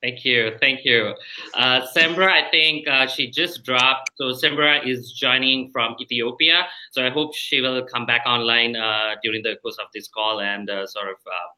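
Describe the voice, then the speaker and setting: Very bright-voiced man hosting, hesitant. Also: medium pitch, fairly even intonation, normal speed, rough recording. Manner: hesitant, many fillers. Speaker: show host. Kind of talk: hosting a live online Q&A.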